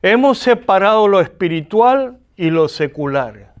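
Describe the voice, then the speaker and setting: A man's voice: high-pitched, lively intonation, measured pace, smooth. A man from Argentina with an Argentine accent, giving a classroom lecture and often asking the students rhetorical questions. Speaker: seminary lecturer.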